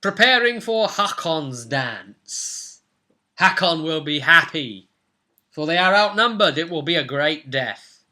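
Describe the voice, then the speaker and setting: A man's voice: fairly high pitch, lively intonation, takes it slowly, sharp tone. A man in his twenties casually recapping a tabletop role-play session as game master, telling it with dramatic flair in a room at home. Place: small furnished room at home, indoors.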